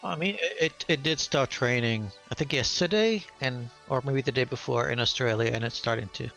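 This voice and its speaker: A man with a gravelly, creaky voice talks earnestly in a group chat, pausing often and filling the gaps with um and like. Faint music hums behind him. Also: medium pitch, fairly bright tone. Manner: earnest, hesitant, many fillers.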